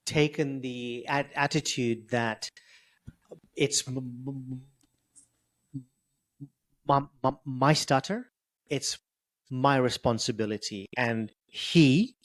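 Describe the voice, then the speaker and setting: A man talking as an interview guest who stutters, with repeated sounds and blocks, speaking openly and with humor. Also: mid-range voice. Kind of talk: conversational interview.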